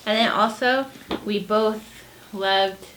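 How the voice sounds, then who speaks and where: Woman doing casual book recs on a vlog, average pitch, earnest and appreciative. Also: fairly even intonation, slow, a bit bright, slight background noise, poor audio. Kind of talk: casual book recommendations to camera.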